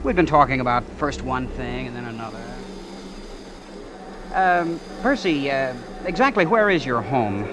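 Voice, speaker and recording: A man's voice: mid-range voice, big pitch swings, a little slow, noisy background. An actor playing an eager, naive prep-school young man from a small town, folksy and chatty, in a 1940s radio drama. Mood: reminiscing, hesitant. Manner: folksy, boyish, hesitant storytelling. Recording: vintage radio recording with music behind.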